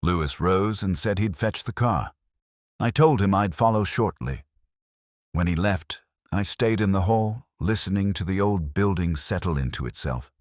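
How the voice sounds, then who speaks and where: An actor playing a weary, melancholy middle-aged detective, narrating a scripted mystery in a measured, literary, sombre way. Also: male voice, low voice, dry recording, studio quality, close to an everyday voice. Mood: grave, elegiac.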